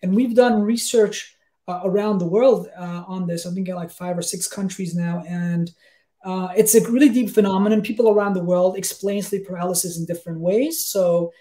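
A man in his thirties with a soft-edged, mellow voice. He explains as a guest researcher on a video call, talking conversationally in emphatic, halting phrases and checking in as he goes. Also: high-pitched. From Denmark. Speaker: guest expert, sleep researcher. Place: small room with bookshelves, on a video call.

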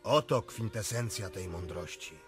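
An actor playing a solemn, cynical political visionary, declaiming eloquently in a radio drama. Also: male voice, on the low side, unhurried, natural voice. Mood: grim, prophetic. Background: faint music.

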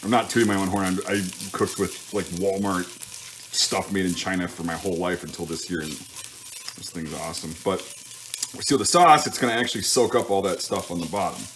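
A man with a fairly low voice talks casually through his cooking at the stove. He thinks aloud and corrects himself in an informal way, with frying and stirring noise behind him in a home kitchen. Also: brisk, a bit bright, poor audio. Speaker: home cook hosting a cooking show. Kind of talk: casual cooking commentary while working. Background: sizzling pan and stirring sounds.

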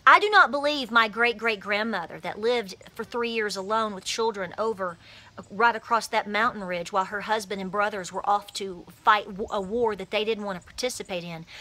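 Bright, animated woman on her porch. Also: mid-range voice, moderate pace. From Appalachia, USA. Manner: animated, emphatic, gestures with her hands. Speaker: homesteading vlogger. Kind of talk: passionate straight-to-camera talk. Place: outdoors on a house porch. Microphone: phone camera at arm's length.

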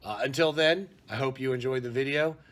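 A man in his forties giving a relaxed, casual vlog recap from a small room at home, speaking into a webcam. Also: mid-range voice, fairly quick. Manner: easygoing, relaxed talk with fillers. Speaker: vlogger. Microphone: webcam at arm's length.

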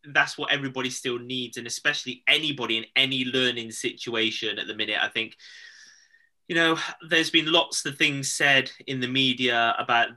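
A man's voice: mid-range voice, moderate pace, pushed and bright. A man in his thirties hosting a casual video-call chat, rambling and laughing often. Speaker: podcast host. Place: home room, indoors.

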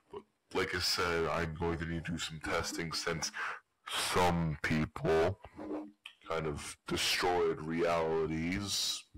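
A man's voice: low voice, fairly bright tone, low-quality recording. A man voicing an awkward, dismissive mad doctor who rambles hesitantly, in amateur game character lines.

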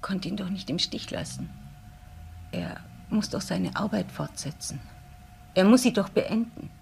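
An actress playing a devoted, admiring assistant to a professor in a crime radio play, earnest and subdued. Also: female voice, mid-range voice, lively intonation, unhurried, vocal fry, a little mellow, slight background noise. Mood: troubled, loyal.

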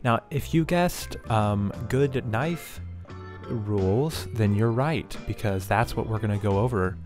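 A young man in a home kitchen, hosting a tongue-in-cheek cooking tutorial with mock confidence and halting, repeated words. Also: fairly low pitch, a little mellow, faint music behind. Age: young adult. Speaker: host of a cooking parody vlog. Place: home kitchen and dining area, indoors.

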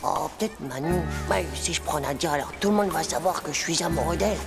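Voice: mid-range voice, fast talker, creaky, music in the background, lightly acted. An actor voicing a whiny, hot-tempered, scheming young schoolboy in a French cartoon. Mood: anxious plotting. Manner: whiny, indignant, slangy. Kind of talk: French animated series dubbing.

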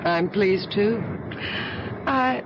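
An actress playing a polite, friendly, chatty airline passenger in a 1970s radio drama. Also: female voice, medium pitch, drawn-out words. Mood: friendly, coy. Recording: muffled radio recording, noisy vehicle ambience.

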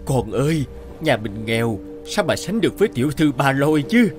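A man's voice: medium pitch, very animated, brisk, music in the background, natural voice. Man acting a kindly old fisherman father. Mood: weary, reproving. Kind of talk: dubbed cartoon fairy tale acting.

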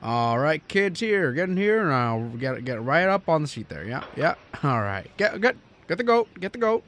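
An actor playing an earnest, kindly small-town man in a radio drama, folksy and a little halting. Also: a little high, very animated, fairly bright tone. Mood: busy, cheerful.

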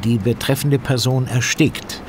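An actor playing a self-assured, pedantic fire investigator in a radio play, explaining things in a precise, lecturing, technical way. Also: male voice, mid-range voice, fairly even intonation, measured pace, background noise, natural voice. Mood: matter-of-fact, instructive. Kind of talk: German audio drama acting.

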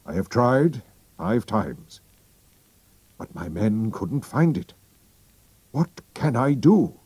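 A man's voice: on the low side, big pitch swings, slow, darkish tone, husky, no echo, voice pushed up, full character voice. A man reading a storybook aloud and voicing a proud, anxious king with a formal, stiff and urgent manner. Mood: regretful. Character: Bohemian king, tall, proud, anxious, middle-aged man.

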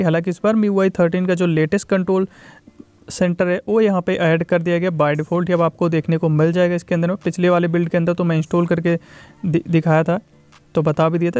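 Young male tech reviewer. Very quick delivery, smooth clear tone, informal and explanatory. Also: high voice, darkish tone, dry recording. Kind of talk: phone software review voice-over.

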